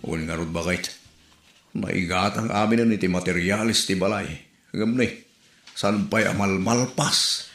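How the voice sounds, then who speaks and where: A man's voice: sits low, moderate pace, fairly bright tone, roomy sound. A man playing a blunt, hard-up village husband who grumbles in a comedy radio drama. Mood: grumbling.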